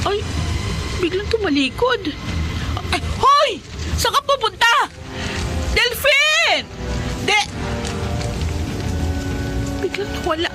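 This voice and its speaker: A woman's voice: very high voice, full character voice. A woman playing a worried, loving fisherman's wife in a Filipino radio horror drama, fretful and pleading, with a Filipino accent. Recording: noisy, rain ambience behind.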